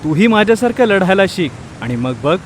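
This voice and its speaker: A man voicing a kindly, steady male vulture in an animal story, reassuring and encouraging. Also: sits high, brisk, a little hiss or hum.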